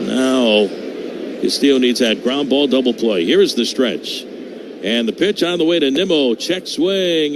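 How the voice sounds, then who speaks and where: A man calling live baseball play-by-play for radio, lifting his voice on the action and settling back between pitches. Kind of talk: live radio sports commentary. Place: open-air ballpark broadcast booth. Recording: clear outdoor ballpark noise behind.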